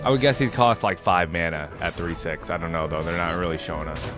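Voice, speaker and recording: A man's voice: low-pitched, fairly quick, music in the background. A man hosting a reaction video, commenting casually and informally as he thinks aloud.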